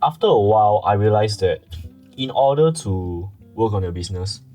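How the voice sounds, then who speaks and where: A man's voice: lowish voice, expressive, moderate pace, warm tone, soft background music, rough recording. A young Singaporean man with a Singaporean English accent, a guest telling customer stories in a casual talk show chat. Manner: storytelling, recounting customer anecdotes at length. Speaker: guest, audio brand founder.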